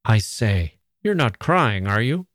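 A warm, protective young gentleman, played by a male narrator, speaks as a loving brother in a storybook. He is gentle and concerned, unhurried, with a dry, close sound. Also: fairly low pitch, very animated, no room sound, full character voice.

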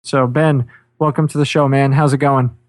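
A man's voice: medium pitch, level tone, normal speed, a little mellow, no echo. A man hosting a podcast, talking energetically with lots of fillers and repeated words. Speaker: podcast host.

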